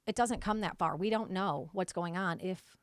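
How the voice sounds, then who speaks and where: Earnest lower-voiced woman from Michigan. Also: normal speed, clean tone, dry recording. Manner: earnest, agreeable, says "right" often. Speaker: school board candidate. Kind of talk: interview answers.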